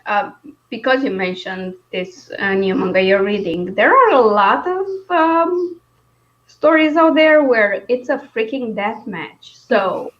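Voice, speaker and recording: A woman chats casually about books in a fairly high voice. Her manner is wry and informal, and the audio is slightly dull and lo-fi. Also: fairly bright tone. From Romania. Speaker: book discussion host. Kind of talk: casual book chat.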